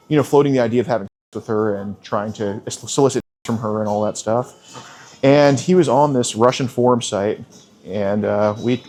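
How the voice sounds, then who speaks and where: A man calmly questioning someone and then explaining the situation to police, in halting speech full of restarts, indoors at a casino. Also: mid-range voice, a little hiss or hum. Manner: calm, halting, with many restarts. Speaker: sting confrontation host. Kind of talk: confrontational questioning.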